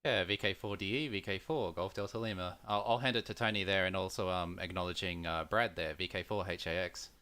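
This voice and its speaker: A man's voice: deep voice, fairly even intonation, a little fast, hardly any pauses, noisy background. A man at his home radio station chatting casually with others on the net, thinking aloud with many fillers. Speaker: ham radio operator.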